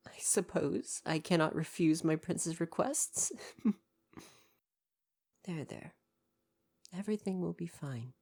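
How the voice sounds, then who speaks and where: A woman's voice: fairly low pitch, a little mellow. A woman playing a devoted royal butler in an ASMR roleplay, speaking in a formal, deferential and precise way with parental care. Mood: tender, reassuring.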